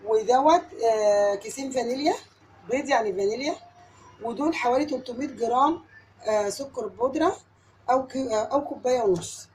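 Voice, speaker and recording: A home cook with an Egyptian accent walks the viewer through a recipe in a quick, friendly patter. Her voice sounds tired from a recent cold and sits a little high. The kitchen recording carries a clear layer of background noise. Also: darkish tone, low-quality recording. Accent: Egyptian Arabic. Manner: chatty, addresses viewer directly, step-by-step. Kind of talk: cooking tutorial.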